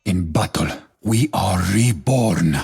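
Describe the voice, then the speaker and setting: Battle-hardened game warrior, proud, solemn, declamatory, heavy gravel, slightly distant sound. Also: male voice, sits low, lively intonation, takes it slowly, a little mellow, faint music behind, full character voice. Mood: solemn resolve. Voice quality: gravelly, husky. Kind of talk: epic video game voice acting.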